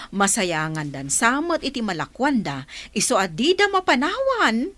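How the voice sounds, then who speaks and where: An actress playing an expressive, direct village woman in a radio drama. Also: fairly high pitch, measured pace, full character voice. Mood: friendly. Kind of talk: Ilocano radio drama acting.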